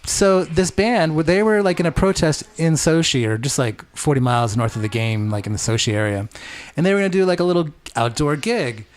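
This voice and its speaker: A man's voice: a little high, a little fast. A man hosting a podcast in a radio studio, chatting casually and rambling, with lots of "like" and "um". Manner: rambling, heavy use of "like" and "um". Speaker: podcast host. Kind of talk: casual chat. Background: faint background music.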